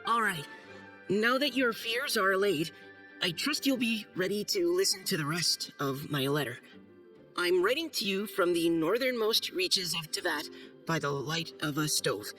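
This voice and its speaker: A man's voice: high voice, animated, a little slow, bright, forceful tone, exaggerated voice. A man voicing a timid, earnest young knight in a video game, formal and hesitant as he reads aloud. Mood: earnest, careful. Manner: hesitant, nervous, stammering, formal. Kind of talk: video game acting, reading a letter aloud. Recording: faint music behind.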